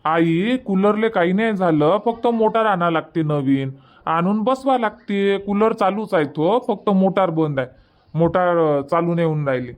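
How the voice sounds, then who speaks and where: A man's voice: high-pitched, normal speed, close to an everyday voice. A man voicing an easygoing, stubborn village husband in a cartoon comedy, chatty and colloquial, with a rural Varhadi Marathi accent. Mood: casual, reassuring. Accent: rural Varhadi Marathi from Vidarbha. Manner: casual, colloquial, explaining at length.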